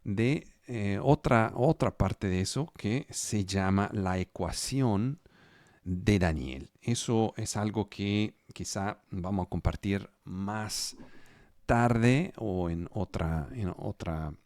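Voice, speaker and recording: A man preaching a sermon as pastor, Spanish not his first language, slow and halting, often repeating words as he searches for phrasing. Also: lowish voice, darkish tone, dry recording, studio quality. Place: home studio for a live online service.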